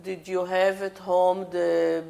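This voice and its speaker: Slow-spoken woman interviewer. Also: lowish voice, level tone, creaky, warm tone. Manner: patient, probing questions. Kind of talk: oral history interview.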